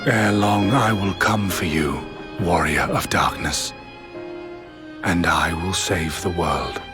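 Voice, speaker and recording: A man playing a solemn, vengeful immortal sorcerer in a fantasy video game, speaking formally and deliberately with cold duty. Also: low-pitched. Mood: grim resolve. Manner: grave, deliberate, archaic formal speech. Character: solemn, vengeful immortal male sorcerer villain, coldly dutiful. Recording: orchestral music behind the voice.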